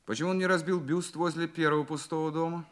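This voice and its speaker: An actor playing a shrewd, self-assured male detective in a radio play, musing deliberately and courteously, often repeating his words. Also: on the high side, steady tone, close to an everyday voice. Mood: analytical. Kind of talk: Russian radio play acting.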